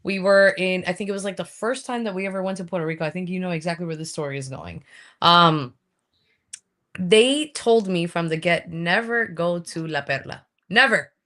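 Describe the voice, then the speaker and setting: Bright-voiced woman telling stories casually. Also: lowish voice, brisk. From Colombia. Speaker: wrestler, interview guest. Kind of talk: casual interview storytelling.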